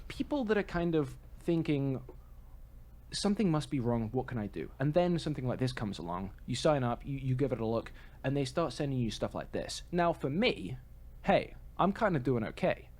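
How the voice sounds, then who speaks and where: 男播客主持人，中等音高. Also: male voice, medium pitch, a little mellow. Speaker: podcast co-host. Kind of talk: casual podcast chat.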